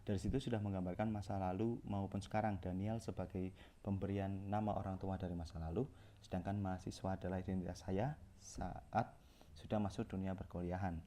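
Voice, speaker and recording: A man's voice: low-pitched, fairly even intonation, a little fast, dark tone. Young man reading a course presentation. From Central Java, Indonesia. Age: young adult. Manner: reads from notes with frequent self-corrections and restarts. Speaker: communication student presenting.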